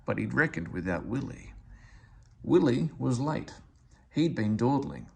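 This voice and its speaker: A man's voice: medium pitch, very animated, a little slow, gravelly, husky. A man reading a children's story aloud as a scripted narration.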